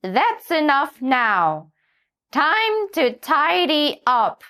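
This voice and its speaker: A woman putting on a high fussing hen nanny. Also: very animated, slow pace, exaggerated voice. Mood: stern. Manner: high, sing-song and fussing. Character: fussy kindly hen babysitter, female, older.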